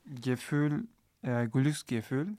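A man's voice: mid-range voice, takes it slowly, dark tone, no echo. A man, a student guest in a podcast interview, speaking German hesitantly with many filler sounds. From Turkey. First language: Turkish. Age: 20s.

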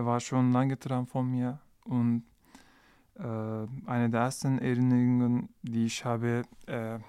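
A man talks haltingly on a podcast, pausing on fillers and restarting words. His voice is mellow and smooth, the pace slow and the sound dry and close. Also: mid-range voice, no echo. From Turkey. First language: Turkish. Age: 20s. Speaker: guest, international student. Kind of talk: podcast interview.

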